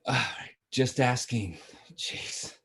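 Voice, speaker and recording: A man's voice: mid-range voice, drawn-out words, fairly bright tone. A man playing a breezy, shameless womanizing father of a grown son in a sitcom table read. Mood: defensive. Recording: video call table read.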